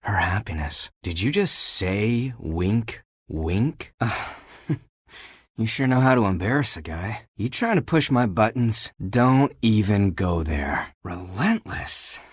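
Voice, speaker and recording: A man's voice: lowish voice, very animated, slow pace, slightly rough, no echo, studio quality, full character voice. A man playing a living pile of laundry in a dating game, a flirtatious and sensitive swaggerer with a theatrical, emotive delivery. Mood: guarded, sheepish. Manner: flirtatious, theatrical, emotive, swinging from guarded to grandiose. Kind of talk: dating game character voice acting.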